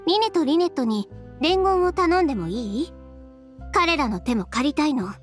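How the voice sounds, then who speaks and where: A confident young tailor in a Japanese game. The voice is high and lively in pitch, with a matter-of-fact, no-nonsense delivery. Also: female voice, moderate pace, faint music behind. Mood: composed, businesslike. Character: poised, businesslike young woman fashion designer, direct and confident. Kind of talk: Japanese video game voice acting.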